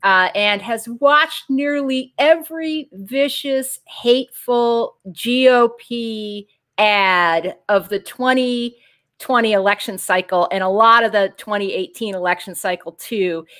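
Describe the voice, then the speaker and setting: A middle-aged woman hosting a political discussion show over a video call, who gets excited and jumps up into a higher voice. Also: slow. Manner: excitable, pitch jumps high when excited. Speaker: show host. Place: home room with bookshelves.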